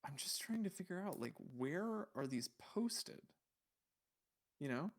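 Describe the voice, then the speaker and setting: A man's voice: fairly high pitch, big pitch swings, unhurried. A man hosting a livestream, chatting casually and rambling as he thinks aloud in a puzzled, musing way while browsing. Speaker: livestream host.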